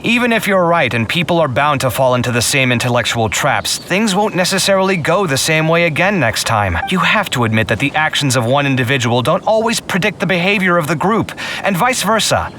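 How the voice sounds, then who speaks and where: Bright, animated, exasperated young man. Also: on the high side, brisk, a little hiss or hum. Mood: exasperated, insistent. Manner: argumentative, expressive, exasperated. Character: earnest, stubborn, easily exasperated young male scholar. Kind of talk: scripted video game dialogue.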